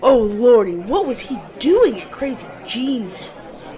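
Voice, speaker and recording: Woman acting a high, sharp-tongued gossipy wife. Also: very animated, slow. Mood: eager, shocked. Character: Elaine, folksy gossip-hungry wife, bossy and threatening. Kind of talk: audio drama acting. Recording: busy crowd chatter behind.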